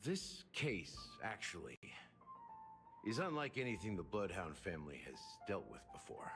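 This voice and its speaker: An actor playing an aging, wry bartender detective in a video game, speaking theatrically like an unhurried storyteller. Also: male voice, low-pitched, huge pitch swings, a bit bright, heavily acted. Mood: grave, wry. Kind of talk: scripted video game dialogue. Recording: game music behind the voice.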